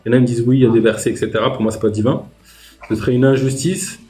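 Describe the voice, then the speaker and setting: A man's voice: mid-range voice, fairly even intonation, very fast, mellow. Hesitant man in an echoey room. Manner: hesitant, many fillers, reflective. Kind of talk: live online discussion.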